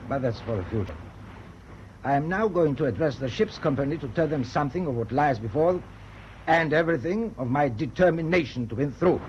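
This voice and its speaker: Man acting a commanding, higher-voiced German sea captain. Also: expressive, natural voice. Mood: determined. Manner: formal, measured, authoritative. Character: determined, commanding German naval ship captain. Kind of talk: British radio drama acting. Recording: dull, faintly noisy old radio recording.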